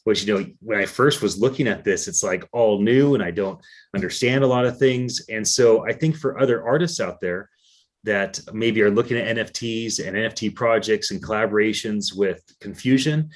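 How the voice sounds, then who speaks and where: Man, interview host, low voice, effusive, introduces guests. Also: normal speed. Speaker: event co-producer and host. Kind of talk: hosting a live-streamed interview.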